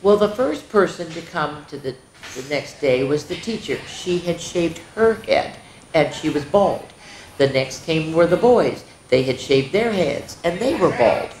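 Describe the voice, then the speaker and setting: A woman reads a picture book aloud to children as a story time host. Her voice is on the low side, and she tosses expressive asides to her listeners. The recording has a roomy echo. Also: slight background noise. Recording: roomy, echoing indoor sound.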